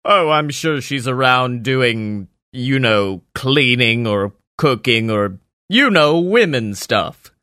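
A man's voice: medium pitch, expressive, slow pace, bright, forceful tone, dry recording, clean studio sound. A man voicing a boastful, self-important male wizard who talks grandly and laughs at others. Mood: smug.

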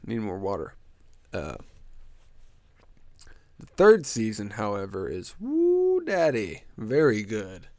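A man's voice: lowish voice, wildly swooping pitch, slow pace, long pauses, darkish tone, dry recording, studio quality. Congested, crude young man rambling on a podcast. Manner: crude, joking, goes off on tangents. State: congested, stuffy nose. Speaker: podcast host. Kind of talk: rambling solo podcast chat.

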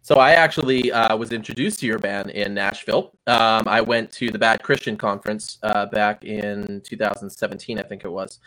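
A man hosting a casual interview, speaking haltingly with many pauses. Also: fairly low pitch, steady tone, a bit bright, rough recording. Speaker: interviewer, host. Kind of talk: conversational interview.

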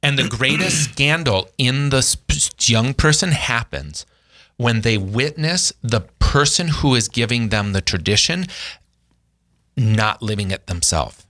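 Earnest man in his forties, bright voice. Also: medium pitch, unhurried. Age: 40s. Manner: earnest, animated when telling stories. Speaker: brother in a family discussion. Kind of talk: casual reflective conversation.

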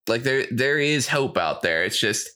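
A man with a middle-pitched voice chats as a podcast co-host. He sounds opinionated and informal, using slang and commenting on himself as he goes. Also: animated, a little slow, pushed and bright. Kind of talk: casual chat about video games and media.

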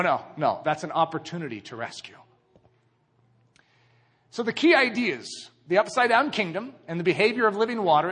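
A man preaches in a high, husky voice that swings widely in pitch. His delivery is conversational yet emphatic and dramatic, full of rhetorical questions. The sound carries the long reverb of a large hall. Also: a bit bright. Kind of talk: conversational evangelical sermon.

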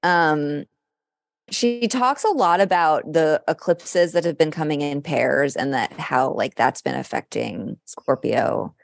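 A woman's voice: sits low, expressive, slow pace, gravelly, no echo. A woman co-hosts a chatty podcast. She talks casually and frankly, with a wry edge. The sound is dry, with no room around it. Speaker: podcast co-host. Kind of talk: casual chat about horoscopes.

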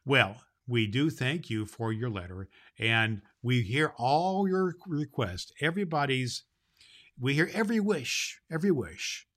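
A man's voice: mid-range voice, expressive, a little slow, fairly bright tone. A man playing a jolly, kindly Santa Claus hosting a children's holiday show, folksy and rambling, repeating phrases for emphasis. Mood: warm, inviting. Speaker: host.

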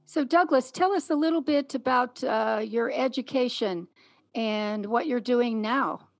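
A middle-aged woman hosting an interview, speaking in a clear, measured way. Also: fairly high pitch, no echo. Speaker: podcast host and interviewer.

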